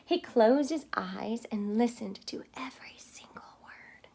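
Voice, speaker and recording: A woman reading a picture book aloud to children, expressive and stopping to explain words. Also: on the high side, a little slow, vocal fry, slightly rough. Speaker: storybook reader.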